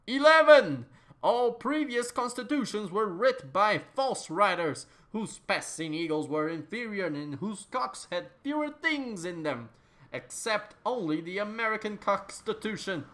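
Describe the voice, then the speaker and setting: A person giving a dramatic reading, theatrical and declamatory, with emphatic outbursts and drawn-out words. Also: medium pitch, fairly bright tone. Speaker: reader. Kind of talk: dramatic reading of absurd written text.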